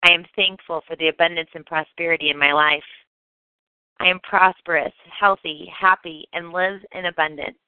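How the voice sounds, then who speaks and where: A woman reciting affirmations for a guided meditation in measured, declarative sentences. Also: fairly low pitch, fairly even intonation, clean tone, no echo. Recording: dull, close, dry recording.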